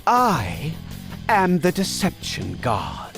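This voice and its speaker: Slow, swooping god of deception. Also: male voice, fairly high pitch, wildly swooping pitch, gravelly, a bit bright, heavily acted. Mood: knowing. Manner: measured, formal, cryptic. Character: smooth, enigmatic male god of deception, unhurried and knowing. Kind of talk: scripted fantasy audio drama acting. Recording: music bed under the voice.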